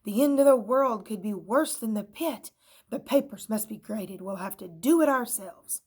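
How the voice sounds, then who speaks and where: Snappish, sarcastic scissors from a storybook, bossing the others around, as a woman reading aloud puts him on. The voice is loud and clipped. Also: a little high, normal speed, breathy edge. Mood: snappy.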